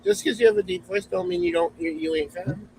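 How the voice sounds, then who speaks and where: A man's voice: high-pitched, fairly quick, darkish tone, a little hiss or hum, poor audio. A man chatting casually and teasing the others while he co-hosts a podcast. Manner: teasing, joking. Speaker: podcast co-host. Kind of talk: casual chat.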